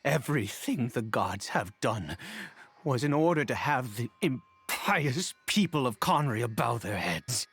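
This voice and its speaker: An actor playing a grieving, desperate father in a video game, pleading in a tearful, breaking voice with halting, dramatic delivery. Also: mid-range voice, very animated, fairly bright tone, slightly rough, full character voice. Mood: broken, pleading. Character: grieving desperate father, bitter toward the gods.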